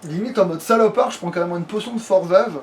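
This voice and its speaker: Male gamer, high voice, crude slangy chat, noisy recording. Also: quick pace. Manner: crude, slangy, swearing freely. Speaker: let's play gamer. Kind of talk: casual gaming commentary. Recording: clearly noisy home recording.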